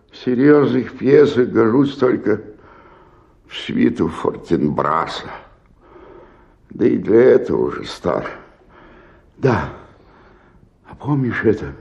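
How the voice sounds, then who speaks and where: An old, drunken man of the provincial stage in a radio play. He declaims in a low, slow voice with sweeping swings of pitch. His manner is grandiose and impassioned, tipping from rapture into maudlin despair. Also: creaky, mellow, muffled recording, exaggerated voice. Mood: bitter, despairing. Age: older. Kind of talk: theatrical radio drama acting with declaimed verse.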